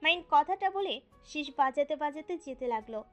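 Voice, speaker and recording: A woman's voice: high-pitched, animated, moderate pace, soft background music. A woman reading scripted narration for a romantic audio story.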